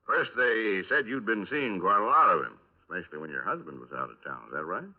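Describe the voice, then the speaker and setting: An actor playing a gruff police lieutenant in a radio crime drama, questioning with folksy, sardonic prodding. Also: male voice, on the low side, big pitch swings, quick pace. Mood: polite, probing. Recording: tinny band-limited old radio recording.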